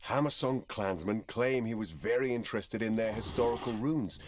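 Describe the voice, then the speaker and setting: A man's voice: fairly low pitch, moderate pace, no echo, lightly acted. An actor playing an earnest, mildly exasperated male scholar companion in a video game cutscene. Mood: informative.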